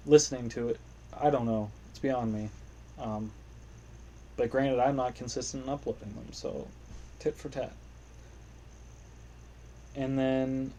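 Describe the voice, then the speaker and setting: A man's voice: mid-range voice, dark tone, slight background noise, low-quality recording. Rambling young man hosting a solo podcast. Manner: rambling, self-deprecating, profane. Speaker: podcast host. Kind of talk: casual solo podcast monologue. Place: home room, playing a video game.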